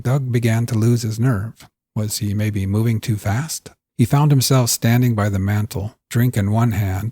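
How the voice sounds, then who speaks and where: Male audiobook-style narrator, dark mellow tone with a husky edge, dramatic scripted storytelling. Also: fairly low pitch, no room sound, studio quality. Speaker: fiction podcast narrator.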